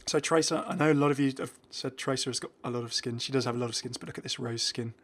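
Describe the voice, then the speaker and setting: A man's voice: medium pitch, fairly even intonation, fast. A man hosting a gaming video, giving casual commentary and musing aloud in a chatty way. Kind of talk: casual game update commentary.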